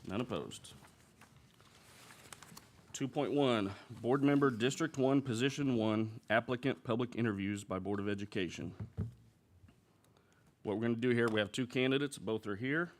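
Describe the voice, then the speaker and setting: A man's voice: medium pitch, moderate pace, pauses often. A man chairing a school board meeting, speaking in a plain, procedural way.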